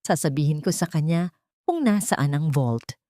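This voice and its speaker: A woman's voice: deep voice, expressive, normal speed, mellow, no room sound, clean studio sound. A frightened, guilt-ridden man , acted by a woman narrator speaks with a Filipino accent. He sounds anguished and weak-willed, in a dryly recorded story melodrama. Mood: afraid, desperate. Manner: anguished, fearful.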